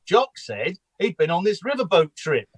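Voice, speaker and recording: A man's voice: high voice, big pitch swings, moderate pace, no echo. A man acting a genial, slightly hapless village customer in a comedy radio play, chatty and mild-mannered.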